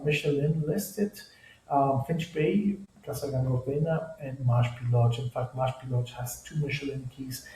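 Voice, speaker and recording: A middle-aged Ecuadorian man presents informally in accented English. His voice is mellow and middling in pitch, with halting, pause-filled phrasing. The sound is strongly echoey, as if he stands some way from the microphone. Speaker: tour company product manager presenting. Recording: roomy echo, distant microphone.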